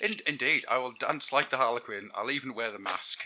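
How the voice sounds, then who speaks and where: A man's voice: mid-range voice, brisk, sharp tone. A male podcast co-host, exuberant and theatrical, laughing often as he banters. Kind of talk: casual banter.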